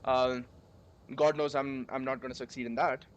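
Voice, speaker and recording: Young man, sore throat, rambling coding streamer, mid-pitched voice, desk mic. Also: level tone, moderate pace, slight background noise. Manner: rambling, chatty, many fillers. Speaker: coding livestreamer. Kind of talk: casual coding livestream.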